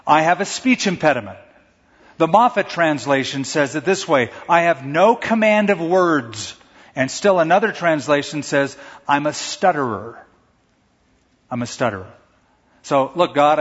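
Pastor, man, fairly high voice, husky, conversational preaching, long hall echo, dull sound. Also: a bit bright. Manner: conversational preaching, acts out stammering quotes. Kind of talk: sermon.

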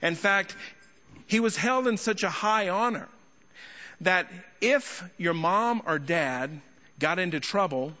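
A man preaching an expository sermon to a congregation, speaking emphatically with rhetorical questions and deliberate pauses. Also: high-pitched, expressive, bright, forceful tone. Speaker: guest preacher and teacher. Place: large indoor church hall. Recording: echoey large hall, slightly muffled sound.

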